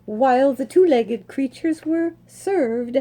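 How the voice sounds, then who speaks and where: A woman reads a picture book aloud to children, taking her time over each phrase. Her voice is soft and mellow, with no edge to it. She talks in a lively, sing-song way, as librarians do at story time. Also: high voice. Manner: slow, expressive storytelling.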